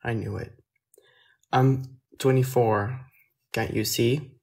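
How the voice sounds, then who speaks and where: A man's voice: medium pitch, steady tone, slow pace, vocal fry, darkish tone. A teenage boy, chatting casually on a phone livestream in a small room at night, answering viewers' questions. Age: teens. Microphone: phone at arm's length.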